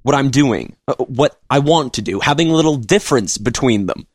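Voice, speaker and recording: A man's voice: medium pitch, lively intonation, fairly quick, dry recording, studio quality, close to an everyday voice. An actor playing a hesitant man who stammers and pleads. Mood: pleading.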